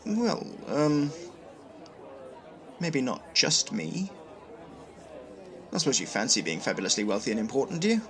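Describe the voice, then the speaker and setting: A man playing an awkward, wry and enterprising trader in an audio drama, halting and self-deprecating. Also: mid-range voice, big pitch swings, some chatter behind. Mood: awkward, hopeful.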